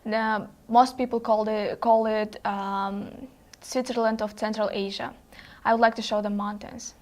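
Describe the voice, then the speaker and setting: A teenage girl from Kyrgyzstan with a Kyrgyz accent, answering interview questions in halting second-language speech. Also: medium pitch, little pitch movement, a little mellow. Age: teens, high school senior. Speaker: exchange student guest.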